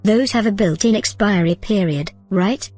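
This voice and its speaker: A woman's voice: mid-range voice, moderate pace, darkish tone, lightly acted. A woman playing a bold, persuasive artificial consciousness in an audio drama, confident and conversational. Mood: determined, calculating. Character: bold, persuasive female artificial consciousness plotting her escape. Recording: music under the dialogue.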